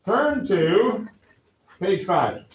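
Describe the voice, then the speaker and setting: A man teaching a math class, giving loud, direct, brisk instructions to his students. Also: high voice, sing-song, heavy background noise. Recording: echoey classroom, dull lo-fi sound.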